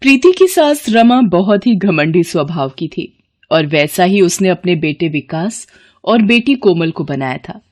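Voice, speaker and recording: A woman's voice: fairly low pitch, darkish tone. A woman storyteller reading scripted narration for a moral family tale.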